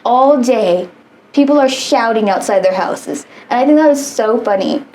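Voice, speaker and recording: A woman's voice: fairly high pitch, animated, normal speed, darkish tone, a little hiss or hum. A woman in her late twenties co-hosting a podcast in casual chat, rambling and swearing freely and trailing off mid-sentence. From United States. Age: 20s. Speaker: podcast co-host.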